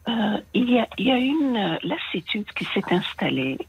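A woman's voice: medium pitch, big pitch swings, moderate pace, breathy edge, no echo. A woman in her sixties with a slight American accent in her French, calling in to a radio show and talking hesitantly with many fillers. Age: 60s. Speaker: caller. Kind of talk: phone-in confession. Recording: dull long-distance phone line.

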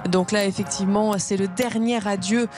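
A woman's voice: medium pitch, steady tone, moderate pace, darkish tone. A woman reporting live on a ceremony, speaking steadily with frequent hesitant fillers. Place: outdoors at a procession. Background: brass band music.

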